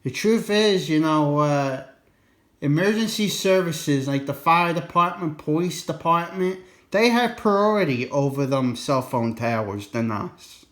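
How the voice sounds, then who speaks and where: A man's voice: a little high, expressive, unhurried, a bit bright. A man giving a casual, unscripted explainer in a folksy, colloquial way, rambling a bit and repeating his warnings for emphasis. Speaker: presenter of an instructional radio video.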